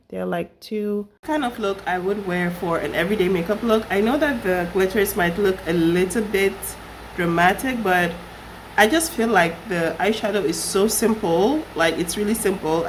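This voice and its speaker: A young woman recovering from a flu, her voice husky, chatting casually through a makeup tutorial. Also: lowish voice, a little hiss or hum, rough recording. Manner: chatty, rambling with fillers. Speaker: beauty vlogger.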